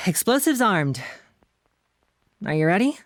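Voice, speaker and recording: Tense female agent, expressive pitch. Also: slow pace, a little hiss or hum. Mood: tense, resolved. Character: tense woman agent arming explosives. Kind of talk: scripted audio drama acting.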